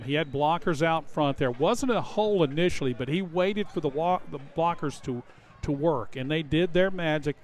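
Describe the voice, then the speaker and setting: A man giving live analytical commentary on a football game, explaining plays in a conversational way. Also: on the high side. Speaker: color commentator.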